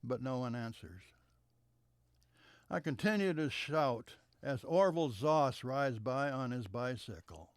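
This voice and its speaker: Male storyteller, mid-pitched, smooth tone, dry close mic, unhurried reading that falls soft at line ends. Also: animated, dry recording. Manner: measured, trailing off softer at the ends of sentences. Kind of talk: reading a memoir story aloud.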